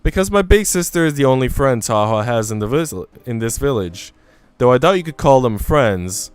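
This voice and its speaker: A man's voice: mid-range voice, expressive, normal speed, clean tone, natural voice. A man voicing a haughty young woman from a visual novel, self-assured and condescending. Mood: knowing, dismissive. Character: haughty, dismissive young woman, quick to judge.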